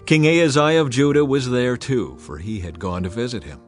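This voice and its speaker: A man reading a dramatized Bible passage as narrator, lifting his voice to act out the quoted speakers. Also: fairly quick. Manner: acts out quoted speakers by raising pitch and volume. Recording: soft music bed behind the voice.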